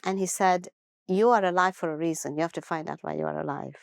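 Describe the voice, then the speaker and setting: Hungarian woman, clear unhurried storyteller. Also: on the low side, fairly even intonation, no echo, studio quality. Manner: earnest, unhurried storytelling with thoughtful pauses and restarts. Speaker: guest. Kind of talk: personal life story in an interview.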